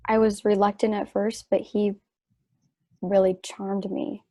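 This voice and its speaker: A woman playing a sick, trusting wife in a stage play reading, wistful and gently earnest. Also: mid-range voice, little pitch movement, a little slow, no room sound, studio quality, close to an everyday voice. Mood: wistful, fond.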